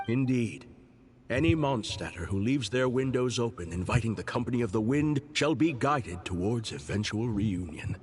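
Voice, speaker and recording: A man playing a composed, devout cardinal in a video game, speaking formally and with gentle wryness. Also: mid-range voice, animated, lightly acted. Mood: solemn, gentle. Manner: formal, measured, gently wry. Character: composed, devout male cardinal, a fatherly churchman. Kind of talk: scripted video game dialogue.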